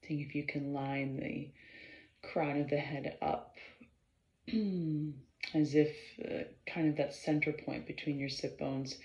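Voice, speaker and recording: Woman, meditation guide, very unhurried halting delivery, creaky voice, roomy slightly muffled recording. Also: low voice, fairly even intonation, darkish tone. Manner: measured, halting delivery with pauses and frequent throat clearing. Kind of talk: slow guided meditation instruction. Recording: roomy, slightly dull sound.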